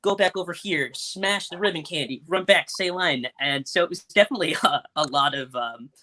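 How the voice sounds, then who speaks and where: Young male actor, higher-pitched and bright, in casual interview mode over a video call. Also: a little fast. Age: young adult. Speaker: stage actor and Foley performer. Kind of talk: casual panel interview answers. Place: indoors in a room at home, on a video call. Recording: clicky video call with faint noise.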